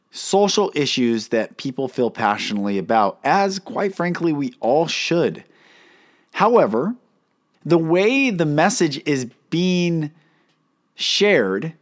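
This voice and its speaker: A man hosting a solo talk episode, earnest and emphatic, asking his listeners questions; he cannot roll his R's. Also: a little high. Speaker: podcast host and life coach.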